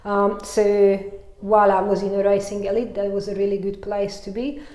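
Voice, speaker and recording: A woman with a Hungarian accent talks through her story in a loose, chatty way, peppering it with "you know" and easy laughs. Her pitch sits in a middle range but barely moves, staying quite level. The sound bounces around an echoey room. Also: little pitch movement, normal speed, a little mellow. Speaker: mountain bike coach. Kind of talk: casual interview chat.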